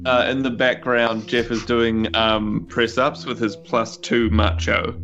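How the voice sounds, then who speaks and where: A man's voice: medium pitch, flat delivery, a little slow, fairly bright tone, highs cut off. A man with a New Zealand accent chatting casually as a tabletop game player.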